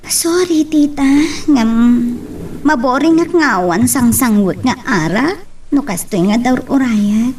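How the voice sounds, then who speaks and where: Woman playing a high-pitched, tipsy, coaxing young Ilocano woman. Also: animated, slow pace, a little mellow, recorded from a distance, a little hiss or hum. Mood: pleading, polite. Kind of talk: amateur audio drama acting.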